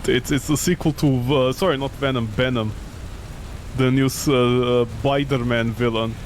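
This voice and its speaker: A man's voice: mid-range voice, fairly even intonation, normal speed, a little hiss or hum. A man doing live game commentary, talking to himself in a sarcastic, exclamatory way. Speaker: gaming streamer.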